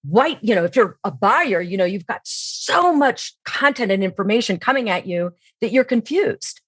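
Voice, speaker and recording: A woman's voice: medium pitch, animated, normal speed, breathy edge, dry recording. A middle-aged woman explaining her views as an expert podcast guest, in an assured, emphatic way.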